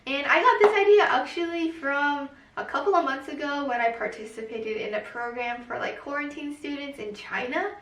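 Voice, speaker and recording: Young woman, high voice, chatty and quick, says like a lot, echoey far off mic. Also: a bit bright. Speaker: co-host, daughter. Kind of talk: casual chat.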